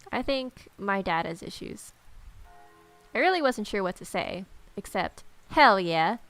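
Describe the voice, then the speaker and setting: Woman reading aloud with a creaky voice. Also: mid-range voice, animated, a little slow, fairly bright tone, dry recording, faint music behind. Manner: irreverent, sarcastic. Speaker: let's player. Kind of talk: reading visual novel aloud with casual joking asides.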